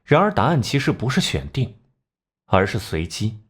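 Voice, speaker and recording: A man playing a composed, sharp-tongued genius detective in an animated crime drama, measured and condescending. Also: medium pitch, husky. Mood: coolly explaining.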